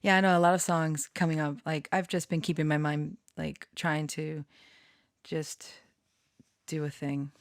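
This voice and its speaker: A woman with a low-pitched voice and plenty of fry talks loosely to her followers. She takes her time, wandering and giggly, with casual swearing. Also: flat delivery, unhurried, no room sound, studio quality. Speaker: online storyteller and channeler. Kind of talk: rambling casual chat to followers.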